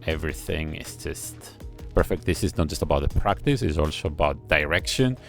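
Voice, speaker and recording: A man giving casual reaction commentary on a music video, analytical and admiring, thinking aloud with pauses. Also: rumbling low, lively intonation. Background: faint music video playing.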